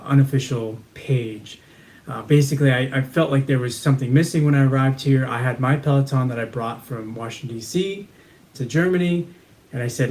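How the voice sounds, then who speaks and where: Male interview guest, confident and earnest, mid pitch. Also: darkish tone, rough recording. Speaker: guest, community page founder. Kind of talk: casual interview.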